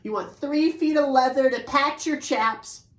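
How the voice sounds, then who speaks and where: A music teacher voicing Tempo, a cheerful, excitable male horse, in a high, eager voice. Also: unhurried. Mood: careful. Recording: roomy echo.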